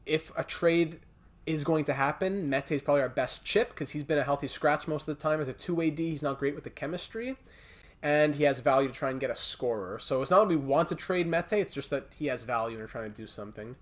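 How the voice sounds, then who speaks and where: A man with a Montreal accent chatting casually on a gaming livestream, thinking aloud in a halting way as he plays and replies to viewers. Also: on the high side. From Montreal, Canada. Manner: thinking aloud, halting, breaking off to reply to chat. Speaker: livestreamer hosting a hockey video game stream. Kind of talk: casual livestream chat with game commentary.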